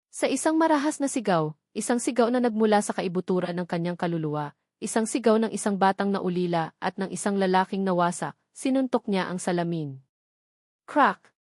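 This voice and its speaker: A woman reading a dramatic love story as a steady, scripted narrator. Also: medium pitch, no room sound, studio quality.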